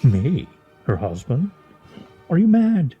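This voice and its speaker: A man's voice: a little high, wildly swooping pitch, slow pace, slightly rough, dull audio, faint music behind, exaggerated voice. A man acting in a radio play as a pompous, needling husband with a florid, mock-formal, teasing way of talking. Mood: sly, mocking. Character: pompous, needling, theatrical husband and scrabble player.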